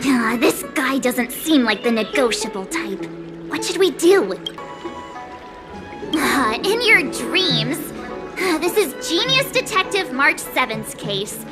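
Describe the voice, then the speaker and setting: A very high, bubbly teenage girl detective from a video game. She is cocky, dramatic and pouty, taking her time over words, with loud music behind her. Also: very animated, takes it slowly, heavily acted. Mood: indignant. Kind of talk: video game character dialogue.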